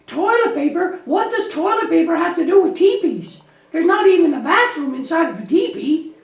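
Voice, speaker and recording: An echoey, distant recording of a tiny lamb puppet with an extremely high voice. The little creature is naive and anxious, chattering earnestly and repeating itself as in a children's puppet show. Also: female voice, expressive, normal speed. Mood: naive, puzzled. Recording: echoey room, distant microphone.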